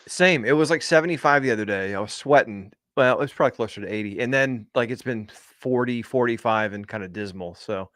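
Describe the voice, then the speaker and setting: A man's voice: medium pitch, brisk, hardly any pauses, fairly bright tone, dry recording, studio quality. A man co-hosting a casual podcast, chatting in a laid-back way and trading crude jokes. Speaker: podcast co-host. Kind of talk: casual comedic podcast chat.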